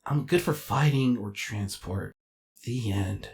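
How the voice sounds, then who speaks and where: A man voicing an excitable, insecure robot jet in an animated comic dub, dramatic and hesitant when unsure. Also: fairly low pitch, takes it slowly. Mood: dejected.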